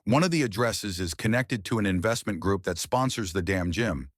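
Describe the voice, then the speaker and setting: A man's voice: lowish voice, lively intonation, moderate pace, no echo, studio quality. A man voicing a frightened young college athlete with a breathless, shaken manner in a story narration. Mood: desperate dread.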